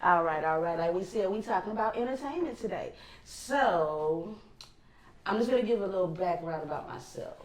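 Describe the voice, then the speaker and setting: Co-host, a woman with a Los Angeles accent, deep for a woman, unhurried and filler-heavy, roomy sound. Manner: relaxed, rambling with many ums. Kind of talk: casual chat.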